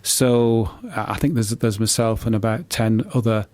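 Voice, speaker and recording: A middle-aged man answering in a radio interview, halting and often repeating words as he explains. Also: fairly low pitch, little pitch movement, darkish tone. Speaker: author and poet being interviewed.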